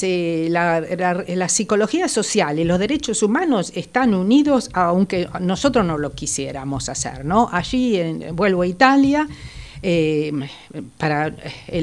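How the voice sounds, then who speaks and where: A woman's voice: lowish voice, big pitch swings, moderate pace, darkish tone. An older woman born in Italy, speaking, recalls her work as a psychologist in a reflective, hesitant way, with many fillers. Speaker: psychologist guest. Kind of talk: interview, personal recollection.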